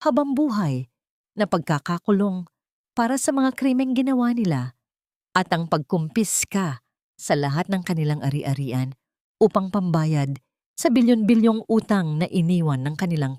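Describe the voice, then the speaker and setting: A woman reading a scripted dramatic story as narrator, in a measured, solemn storytelling manner. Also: lowish voice, darkish tone, no room sound, studio quality. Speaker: narrator of love stories.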